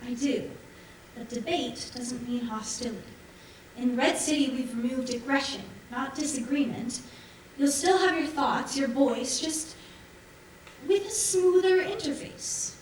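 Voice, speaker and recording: A woman's voice: high voice, a little slow, recorded from a distance. A woman on stage playing an eager, persuasive daughter in a sci-fi colony, speaking in a coaxing, assured way. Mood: smooth persuasion. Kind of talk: staged musical reading, stage acting. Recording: echoey theatre from afar, faint noise.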